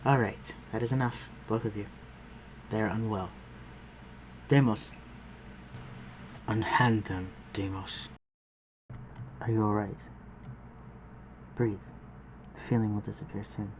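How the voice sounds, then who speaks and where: Guy doing a deep posh-talking vampire lord for audio rp, measured n protective, noticeable background ambience. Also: steady tone, a little hiss or hum, low-quality recording. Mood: firm, protective. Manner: formal, measured, archaic phrasing, dropping to breathy murmurs. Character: refined, protective male vampire lord, commanding yet gentle. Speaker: voice actor in audio roleplay. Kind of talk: intimate audio roleplay acting.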